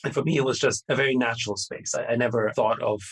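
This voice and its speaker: A man's voice: mid-range voice, fairly even intonation, quick pace, breathy edge. A middle-aged man from Austria, answering interview questions in a rambling, conversational way with many fillers and restarts. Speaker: podcast guest.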